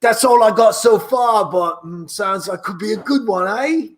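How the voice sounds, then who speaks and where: Man putting on a high-pitched South London punk lad. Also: moderate pace, close to an everyday voice. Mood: chummy, rambling. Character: British punk bandmate, South London lad, pushy and rambling. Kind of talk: improvised comedy scene.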